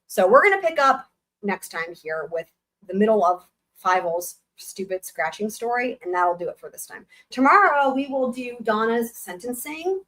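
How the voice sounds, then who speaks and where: Young woman stream host, live reading with blunt, sarcastic commentary, voice going hoarse. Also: on the high side, sing-song, normal speed, fairly bright tone, rough recording. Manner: sarcastic, blunt asides with swearing. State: losing her voice, hoarse. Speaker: livestream host reading a book aloud.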